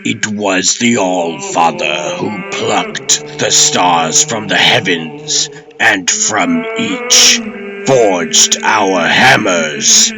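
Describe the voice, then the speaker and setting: A man's voice: sits low, sing-song, drawn-out words, music in the background, exaggerated voice. A man playing an old, stern warrior god and lawman in a comic dub, speaking in grave, archaic, commanding oratory. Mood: solemn, commanding. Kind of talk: theatrical comic dub acting.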